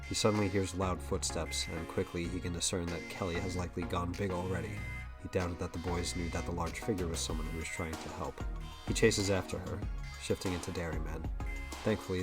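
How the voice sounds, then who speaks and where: A man's voice: deep voice, lightly acted. A man narrating a superhero audio drama in a scripted storytelling style. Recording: music under the voice. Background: prominent guitar music.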